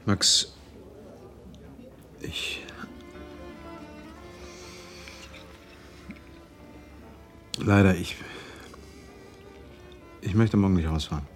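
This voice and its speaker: An actor playing a prickly, withdrawn man in a radio drama, speaking in a terse, defensive, halting manner. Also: low-pitched, a bit bright, faint music behind. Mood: reluctant. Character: prickly, withdrawn, brooding man shutting out his friend.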